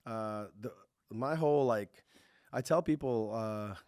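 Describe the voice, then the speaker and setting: Man chatting haltingly in a dry studio sound. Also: on the low side, darkish tone, no echo. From Kazakhstan, grew up partly in Texas. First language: not English. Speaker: podcast guest, tech entrepreneur. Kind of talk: casual interview chat.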